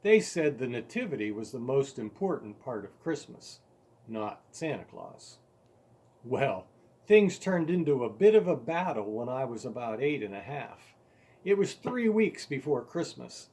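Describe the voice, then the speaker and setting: A man reading his own story aloud as its author, in an expressive, deliberate storytelling manner with emphasized words. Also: medium pitch, slightly rough. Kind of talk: scripted story narration.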